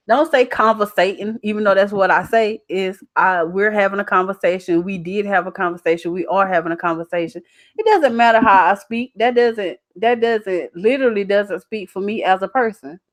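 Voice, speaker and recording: A woman's voice: medium pitch, dry recording, studio quality. A young adult woman with a Southern US accent, talking casually as a channel host in a folksy, emphatic way on a livestream. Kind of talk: casual livestream chat reading out viewer comments.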